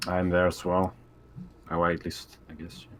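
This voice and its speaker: A man playing a tabletop game, chatting casually in a hesitant, halting way. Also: low-pitched, completely flat delivery, a bit bright. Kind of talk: casual chat.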